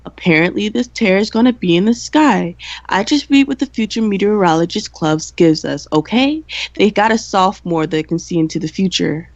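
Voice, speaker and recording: A young woman playing a dry, cynical high school senior girl who co-hosts a campus radio show in an amateur radio play. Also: normal speed, no room sound, lo-fi sound, lightly acted.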